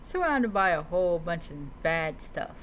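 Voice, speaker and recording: A woman's voice: lowish voice, very animated, measured pace, fairly bright tone, a little hiss or hum, rough recording. A woman doing in-character commentary as she plays a roleplay adventure game, musing dramatically.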